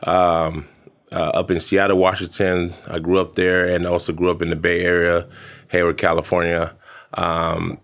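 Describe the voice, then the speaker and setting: A man in his thirties from American Samoa, a podcast guest telling his story in a halting, casual way with many fillers and restarts. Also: deep voice, monotone, fairly bright tone. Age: 30s. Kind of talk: casual interview chat.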